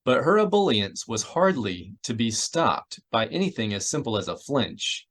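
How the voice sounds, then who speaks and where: A man's voice: mid-range voice, big pitch swings, moderate pace, fairly bright tone. A man reading a science fiction novel aloud as scripted narration. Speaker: audiobook narrator. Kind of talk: scripted narration of a sci-fi novel, with character voices.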